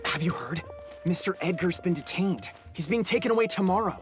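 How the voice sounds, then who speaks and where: A man's voice: high voice, expressive, fairly quick, music in the background. An actor playing an agitated, gossiping townsman in a video game. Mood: urgent, gossipy.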